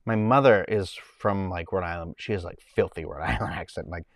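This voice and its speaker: A man's voice: deep voice, normal speed, gravelly, no echo, clean studio sound. A man with a Boston accent, hosting a casual livestream from home and chatting in a rambling way while he reads the chat. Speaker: livestream host.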